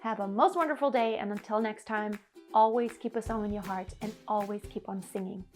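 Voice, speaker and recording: A woman's voice: medium pitch, a little fast, a little mellow, faint music behind. A woman in her thirties from Germany, a vocal coach giving an earnest, encouraging singing lesson to camera in a living room. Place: living room in front of an upright piano.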